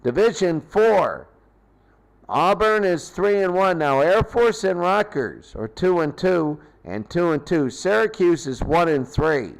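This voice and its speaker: A man with a slightly high-set voice recaps sports results as a host. He speaks very slowly, in short, halting phrases, working things out aloud without a script. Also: very animated, creaky, poor audio. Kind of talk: unscripted sports recap and commentary.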